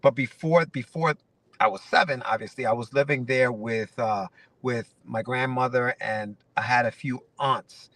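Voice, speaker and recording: Man, forties, from Ecuador, bright tone, rambling guest chat, dry sound, set back from the mic. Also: mid-range voice, steady tone, normal speed, no room sound, far from the mic. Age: 40s. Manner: rambling, many restarts and fillers. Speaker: guest comic writer. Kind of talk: casual interview chat.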